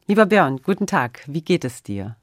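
A woman's voice: low voice, sing-song, moderate pace, dry recording, studio quality. A woman speaking as a radio host, presenting and asking prepared questions in an interview style.

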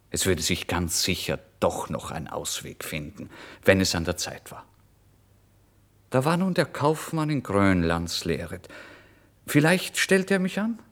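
A man narrating a literary first-person story in a brooding, expressive way, moving between hushed and emphatic delivery. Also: low voice. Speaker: audiobook narrator.

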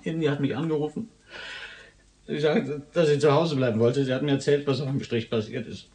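A mid-pitched male murder suspect, played by a radio actor, speaks defensively and haltingly. He sounds like a scared man in custody giving his statement in a crime radio play. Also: fairly even intonation, a little mellow, lightly acted. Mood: bitter, defensive. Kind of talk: German radio drama acting.

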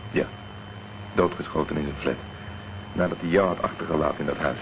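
An actor playing a blunt, protective middle-aged private detective in a Dutch radio drama, terse and direct. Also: male voice, very deep voice, fairly even intonation, brisk. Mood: sober, explaining. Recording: muffled, noisy old radio recording.

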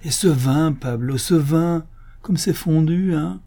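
Man acting rapturous gluttonous canon, pitched high. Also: mellow. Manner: effusive, drawn-out exclamations of delight. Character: gluttonous, sentimental Spanish canon overcome by fine food.

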